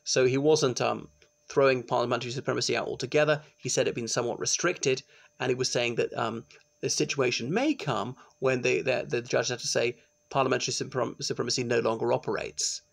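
Gravelly man giving a lecture. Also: medium pitch, steady tone, a little fast. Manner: chatty, explanatory, with frequent ums and restarts. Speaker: law lecturer. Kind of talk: informal recorded lecture. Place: small indoor room. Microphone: laptop webcam, close framing.

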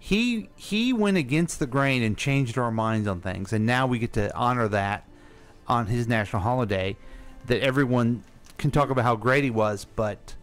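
A man's voice: medium pitch, moderate pace, soft background music. A man co-hosting a radio show in casual, informal conversation. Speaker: radio co-host asking questions.

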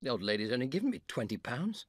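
A man's voice: medium pitch, sing-song, fast talker, husky, no room sound, voice pushed up. A man doing a gloomy, grumbling young officer.

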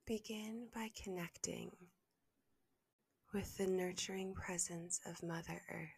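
A woman with a soft, breathy, slightly low voice guides a meditation, often slipping close to a whisper. She speaks very slowly and soothingly, leaving long pauses, with a creaky edge to her words. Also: fairly even intonation, dry recording. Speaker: meditation guide and podcast host.

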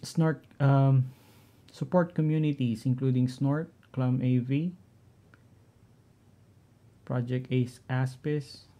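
A middle-aged man with a Filipino accent, talking through his home network setup in a halting way, often clearing his throat. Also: mid-range voice, fairly even intonation, warm tone. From Philippines. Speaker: tech vlogger. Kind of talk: casual screen-share walkthrough.

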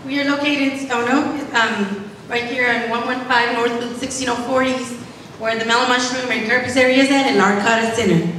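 A woman's voice: on the high side, steady tone, moderate pace, a bit bright, distant mic, slight background noise. Earnest woman speaking in echoey hall. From Mexico. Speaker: spa owner and guest speaker. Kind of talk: casual speech and on-stage Q&A. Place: large event hall on stage. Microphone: handheld microphone, far camera.

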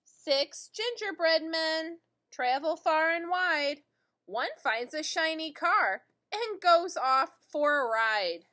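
A middle-aged woman teacher reading a children's picture book aloud in a deliberate singsong way, addressing young children. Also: very high voice, animated, bright, forceful tone. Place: indoor school classroom.

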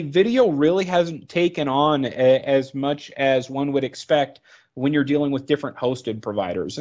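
A man's voice: medium pitch, a little mellow, dull audio. A man narrating an informal product training presentation in a conversational, explanatory way, thinking aloud as he goes.

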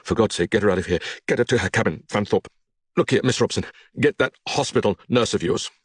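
A man's voice: on the low side, a little fast, breathy edge, no room sound, clean studio sound. Urgent wounded young Englishman, read by a man. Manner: urgent, pleading.

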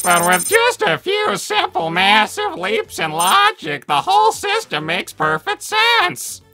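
A squeaky, gravelly cartoon robot sidekick, goofy and overconfident. The pitch is extremely high and swoops wildly. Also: male voice, wildly swooping pitch, takes it slowly, bright, forceful tone, faint music behind, heavily acted. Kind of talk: fan-made animated series voice acting.

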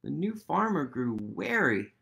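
A man in his thirties reading a picture book aloud to young children, gently and expressively, with pauses and questions. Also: fairly high pitch, very animated, creaky. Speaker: storytime reader.

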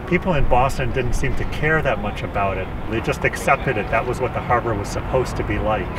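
A man's voice: medium pitch, brisk, low-quality recording. Middle-aged man in outdoor interview. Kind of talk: documentary interview. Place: outdoors on a waterfront. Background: music.

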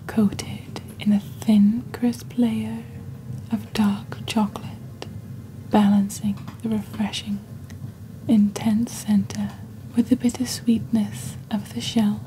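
Female ASMR host, hushed and breathy, very mellow tone, slow theatrical roleplay delivery, close mic. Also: medium pitch, level tone, a little hiss or hum, rough recording. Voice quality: breathy, whispery. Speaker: host of a roleplay shopping channel. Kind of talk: soft-spoken ASMR, scripted roleplay presentation. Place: indoors at a desk. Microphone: close desktop microphone.

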